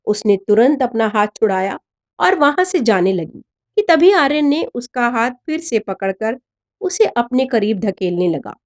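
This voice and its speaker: A woman reading a scripted story in an expressive, dramatic narrator's manner. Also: on the high side, unhurried. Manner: expressive, voices the dialogue of characters.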